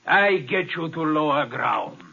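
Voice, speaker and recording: A man's voice: on the high side, sing-song, unhurried, vocal fry, highs cut off, heavy background noise, full character voice. An actor in a 1950s radio drama playing a resentful, power-hungry man who menaces and taunts his adoptive brother. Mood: gloating, menacing. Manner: gloating, taunting, theatrical.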